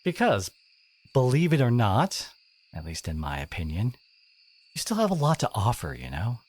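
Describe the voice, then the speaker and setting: A man playing a teenage school bully who is secretly wounded and caring, speaking in a halting, confiding, sardonic way in an intimate audio roleplay. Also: mid-range voice, wildly swooping pitch, darkish tone, husky. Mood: earnest, reassuring.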